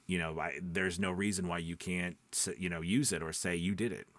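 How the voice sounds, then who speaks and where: Low-voiced man giving casual, emphatic advice. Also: fairly even intonation, brisk, a bit bright, dry recording. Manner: conversational, emphatic, often checking understanding with rhetorical questions. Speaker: voice-over coach and channel host. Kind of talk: casual advice talk to camera.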